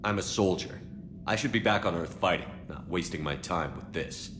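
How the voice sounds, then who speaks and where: An actor playing a resolute, weary military starship commander in a video game, with a terse, commanding manner. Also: male voice, sits low, normal speed, reverberant, background noise, lightly acted. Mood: grim. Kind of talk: video game cinematic acting.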